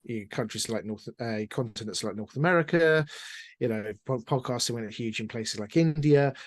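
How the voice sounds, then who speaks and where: Middle-aged man, mid-pitched, wry and chatty interviewee, very dry close sound. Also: normal speed, no room sound. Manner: wry, self-deprecating, chatty. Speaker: interview guest, audio drama producer. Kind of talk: casual interview storytelling.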